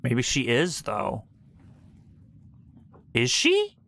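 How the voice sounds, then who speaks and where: A male game streamer with a bright, forceful voice that swings widely in pitch. He reacts casually and excitably in loose slang, talking close to the mic. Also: drawn-out words. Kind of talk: casual live playthrough commentary.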